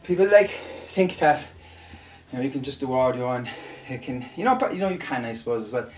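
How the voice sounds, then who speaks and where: A man's voice: mid-range voice, sing-song, fairly quick, a little hiss or hum. Breathless, laughing man mid-workout. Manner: rambling, chatty, laughs often between sets. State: out of breath and sweaty. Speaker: online audio creator. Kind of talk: casual chat while working out.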